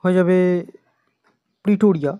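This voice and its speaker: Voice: medium pitch, normal speed, dark tone, no room sound. An exam coaching teacher with an Indian Bengali accent, methodically reading out quiz questions and answers and repeating them.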